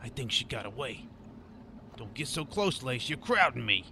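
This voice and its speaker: A shifty streetwise informant in a radio drama. He is jittery and lively in pitch, sitting in the middle register, with clear background ambience. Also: moderate pace, a little hiss or hum, heavily acted. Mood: nervous. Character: nervous, shifty supernatural informant on the run. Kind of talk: radio drama monologue and dialogue.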